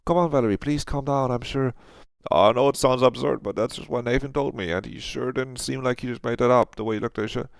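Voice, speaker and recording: A man's voice: medium pitch, fairly even intonation, fairly quick, vocal fry, no room sound, pitched above the performer's own voice, close to an everyday voice. A man playing an earnest, placating visual novel character who explains things carefully.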